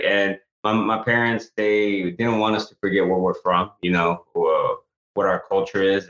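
A man's voice: deep voice, a bit bright. A man from El Salvador, a guest actor and author, telling his story earnestly in an interview, with frequent restarts. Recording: echoey, slightly dull remote audio.

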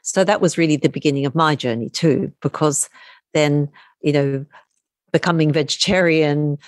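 A woman's voice: deep voice, normal speed, no echo, studio quality. An Australian woman, a meditation teacher, speaking thoughtfully as a podcast guest with many "you know"s. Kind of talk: podcast interview.

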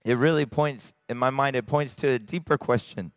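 A man from America, a church elder, preaching a sermon with a raspy, hoarse voice and earnest, searching pauses. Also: medium pitch, dry recording. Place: church service. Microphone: handheld microphone.

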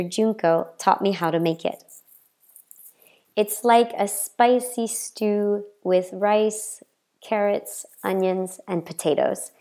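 A woman from the American South with a Southern accent, introducing herself as a language teacher in a friendly, measured podcast talk. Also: mid-range voice, vocal fry, dark tone. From Southern United States. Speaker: English teacher. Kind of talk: scripted podcast self-introduction.

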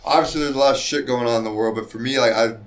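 A man's voice: medium pitch, fairly even intonation, very fast. A man who fights professionally, talking casually in an interview about diet and his career, with a loose, rambling manner full of fillers and restarts. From Mexican heritage. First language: Spanish speaker. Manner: laid-back, rambling with many likes and restarts. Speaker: mixed martial arts fighter, guest.

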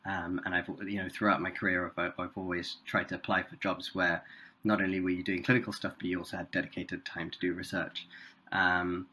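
A man in his thirties from South India and Singapore with an English accent, chatting casually as a guest neurosurgeon with frequent pauses. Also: sits low, little pitch movement, sharp tone, slight background noise, poor audio. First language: Tamil. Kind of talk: casual interview chat.

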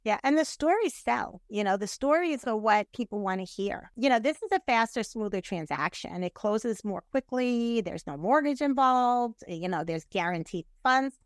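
A woman's voice: a little high, expressive, moderate pace, hardly any pauses, no echo, studio quality. A middle-aged woman, a real estate agent, talking in a casual interview over a video call. She talks with energy, laughs often and keeps saying "you know" and "right".

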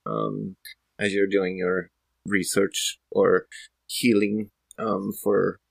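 A man's voice: deep voice, very animated, slow pace, gravelly, no echo, full character voice. A performer playing a polite, reserved female doctor in a sci-fi tabletop roleplay, with formal, hesitant wording. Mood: polite, earnest. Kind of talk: improvised in-character tabletop roleplay.

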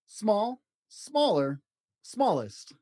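A man hosting a storytime show and reading a children's book aloud expressively, in deliberate short phrases with an encouraging manner. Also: sits high, very animated, dark tone, dry recording. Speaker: podcast host reading picture books aloud.

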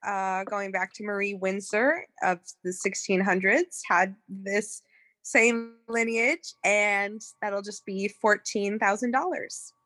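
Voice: medium pitch, steady tone, slow pace, pushed and bright, no room sound, voice pushed down. An improviser playing a matter-of-fact dog breeder making a sale in a comedy scene. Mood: businesslike.

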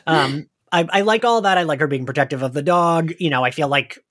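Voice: medium pitch, fairly quick, fairly bright tone. A podcast host talking casually and conversationally, peppering sentences with "like". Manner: conversational, heavy use of "like".

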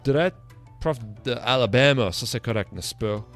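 A man's voice: mid-range voice, lively intonation, normal speed, soft background music. A man hosting a casual interview, asking questions hesitantly and dropping English fillers. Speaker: podcast host.

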